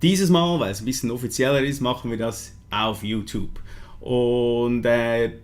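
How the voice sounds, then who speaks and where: A man's voice: mid-range voice, moderate pace. A man with a Swiss German accent hosting a live stream, switching between languages, with frequent fillers and restarts. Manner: hesitant, many fillers and restarts. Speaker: livestream host. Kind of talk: bilingual live stream talk.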